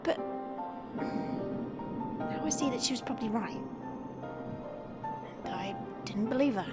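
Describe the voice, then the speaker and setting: A woman's voice: fairly high pitch, takes it slowly, over loud music. A woman playing a cheeky, chatty young monster girl in an audio roleplay, playful, teasing and theatrical. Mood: explaining, subdued.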